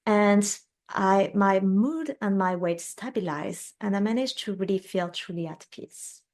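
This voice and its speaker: A woman in her thirties from France with a French accent, a guest telling her own health story in an earnest, measured way. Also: mid-range voice, a little mellow. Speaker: guest, women's health specialist. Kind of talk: interview, personal storytelling.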